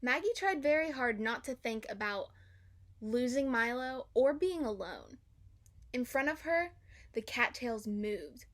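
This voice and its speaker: Woman reading a kids book out loud, real slow, highish voice, expressive and dramatic. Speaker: storybook reader. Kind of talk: children's read-aloud narration.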